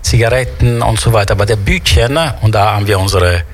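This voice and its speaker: A man's voice: fairly low pitch, a little fast. A man from Sicily, an Italian native with an Italian accent, chatting animatedly on a talk show. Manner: animated, switches between German and Italian. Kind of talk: casual chat.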